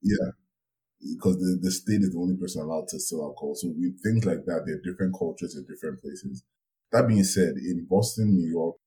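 A man's voice: deep voice, mellow, rough recording. A man co-hosting a casual podcast chat, telling stories and laughing easily. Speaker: podcast co-host.

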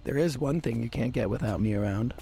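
Sci-fi audio drama: persuasive, flirtatious crewman, middling pitch, natural delivery. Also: a little fast, gravelly, dark tone, husky. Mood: coaxing, flirtatious. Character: restless, persuasive, flirtatious spaceship shipmate.

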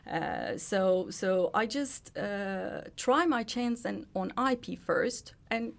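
A woman in her forties speaks English with a Turkish accent as an interview guest. Her pitch sits mid-range for a woman, and she breaks her sentences with restarts and small fillers as she thinks aloud. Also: sing-song, vocal fry. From Turkey. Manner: halting, many restarts and fillers. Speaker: guest, trademark attorney. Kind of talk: casual interview chat.

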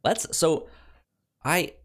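A man's voice: very slow, fairly bright tone. A man hosting a podcast in casual chat, upbeat and enthusiastic, sometimes stammering over his words. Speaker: podcast host.

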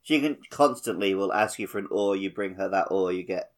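A man's voice: low voice, brisk. A man co-hosting a casual gaming podcast, chatting in a playful, teasing way. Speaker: podcast co-host.

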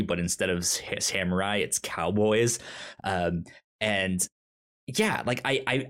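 A man co-hosting a podcast in casual movie chat, talking haltingly with stammered repeats. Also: on the low side. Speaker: podcast co-host.

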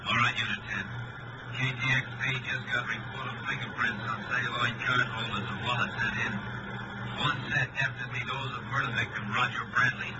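An actor playing a formal, clipped police dispatcher in an old-time radio drama, reading out bulletins in a businesslike way. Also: male voice, medium pitch, steady tone, fairly quick, pauses often, close to an everyday voice. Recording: muffled, noisy vintage radio.

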